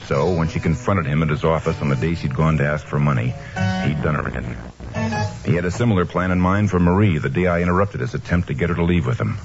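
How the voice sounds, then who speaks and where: An actor playing a steady, principled investigator in an old-time radio mystery, speaking in a measured, firm manner. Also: male voice, extremely low pitch, music in the background. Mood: matter-of-fact. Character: steady, principled male investigator narrating his own case. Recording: dull noisy old radio recording.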